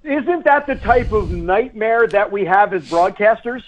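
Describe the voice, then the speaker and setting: A man's voice: high voice, measured pace. A man, a sports broadcaster, chatting casually as a radio guest over a guest line. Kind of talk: casual interview chat. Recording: band-limited, dull guest phone line.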